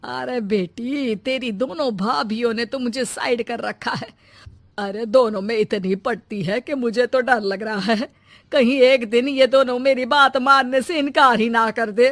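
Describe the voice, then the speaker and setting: A woman's voice: on the high side, unhurried, a bit bright, dry recording. A woman playing a scheming old mother-in-law and grandmother in a moral-story cartoon, scolding and sarcastic. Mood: grumbling.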